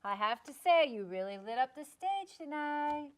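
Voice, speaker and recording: A woman playing a wistful, frank former girlfriend of a rock star in a script read, earnest and gently needling. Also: high-pitched, expressive, normal speed. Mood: admiring. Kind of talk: script table read acting.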